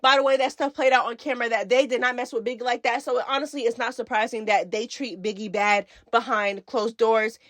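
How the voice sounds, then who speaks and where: High-voiced woman giving emphatic commentary. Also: steady tone, a little fast, hardly any pauses, a bit bright, no room sound, clean studio sound. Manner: emphatic, repetitive, slangy. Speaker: reality TV recap host. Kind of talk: casual opinionated commentary.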